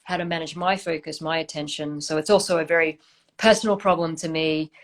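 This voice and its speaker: A low-pitched woman speaks as an interview guest on a video call. She answers thoughtfully and steadily, pausing on "um"s as she gathers her thoughts. Her delivery stays measured rather than rushed. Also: steady tone. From Australia. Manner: thoughtful, measured, with hesitant fillers. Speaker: startup founder, guest.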